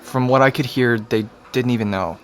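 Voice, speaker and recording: A man's voice: mid-range voice, moderate pace, a bit bright, natural voice. An American man playing a reluctant, caring, sardonic teenage boy in an audio drama, casual and understated. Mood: cautious, gentle. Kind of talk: naturalistic audio drama dialogue.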